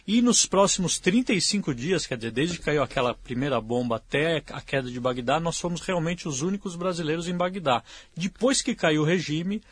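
A man's voice: on the high side, breathy edge, no room sound. A man with a Brazilian Portuguese accent, a journalist guest telling his story plainly and matter-of-factly. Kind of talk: interview answers.

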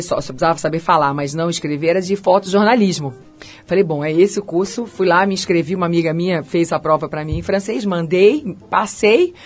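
A middle-aged woman with a Carioca accent, a chef and restaurant owner talking about her life as a guest on a radio interview, as a lively storyteller. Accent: Carioca Brazilian Portuguese. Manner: lively storyteller, pitch swinging high and low.

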